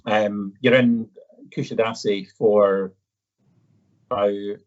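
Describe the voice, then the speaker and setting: A man's voice: on the low side, fairly even intonation, slow pace. A man with an Edinburgh Scottish accent presenting a casual live question-and-answer session, polite and conversational with a hesitant delivery. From Edinburgh, Scotland. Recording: dull, slightly muffled audio.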